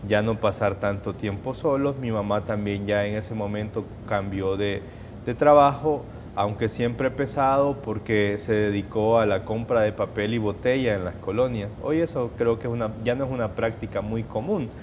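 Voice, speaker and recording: Salvadoran man giving measured testimony. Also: on the low side, darkish tone, a little hiss or hum. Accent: Salvadoran Spanish. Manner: measured, hesitant, with restarts and fillers. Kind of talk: personal testimony in an interview.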